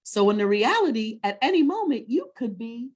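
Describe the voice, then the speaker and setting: A woman's voice: on the high side, big pitch swings, normal speed. A woman hosting a webinar for children, talking conversationally in an encouraging, teacher-like way with leading questions. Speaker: host of a children's story time webinar.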